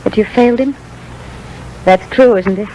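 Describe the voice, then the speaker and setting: An actress playing a doctor's earnest, reproachful wife with pointed, theatrical diction in 1930s radio drama style. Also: female voice, medium pitch, moderate pace, a little hiss or hum, natural voice, rough recording. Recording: vintage radio broadcast, loud music underneath.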